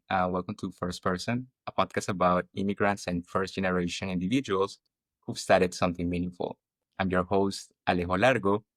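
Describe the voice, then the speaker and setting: A man's voice: low voice, fairly bright tone, dry recording. A man hosting a podcast in a conversational interview style, sounding enthusiastic and welcoming. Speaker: podcast host.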